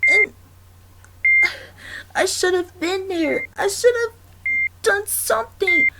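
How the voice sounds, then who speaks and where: A very high, youthful male cartoon fox played by a performer speaks slowly and haltingly, full of earnest worry. He is a gentle friend in an audio drama. The recording is very noisy. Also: vocal fry, exaggerated voice. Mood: shocked, guilty. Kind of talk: dramatic ASMR roleplay acting.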